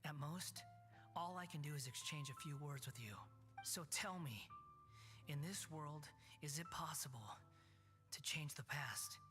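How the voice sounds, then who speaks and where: A man's voice: fairly high pitch, measured pace. A man voicing a proud, bitter young wanderer in a video game, speaking coolly and sardonically. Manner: cold, sardonic, quiet. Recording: game audio with soft music.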